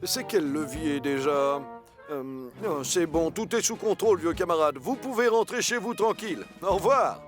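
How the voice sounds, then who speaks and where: An actor playing a blustering, self-assured older colonel in a French cartoon dub, pompous and easily flustered. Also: male voice, a little high, lively intonation, normal speed, faint music behind, lightly acted. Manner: pompous, hearty, flustered under pressure.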